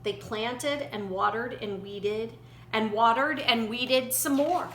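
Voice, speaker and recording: A woman storyteller reading a children's picture book aloud in an animated way. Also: fairly high pitch, slow pace. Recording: roomy echo, faint background noise.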